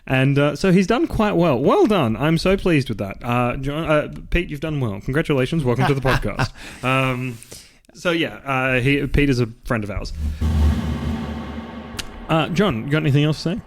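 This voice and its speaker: Deep-voiced male co-host, casual and rambling, lots of ums. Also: animated, moderate pace. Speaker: podcast co-host. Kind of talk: casual livestream chat.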